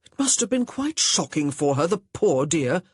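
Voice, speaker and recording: A pompous young gentleman lectures sternly, yet his authority keeps wobbling into confusion, as the audiobook narrator puts him on. The voice is a touch husky, with lively rises and falls, recorded close and dry. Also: fairly high pitch, moderate pace, no echo. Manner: stern, blustering, uncertain. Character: pompous flustered young man, stepbrother.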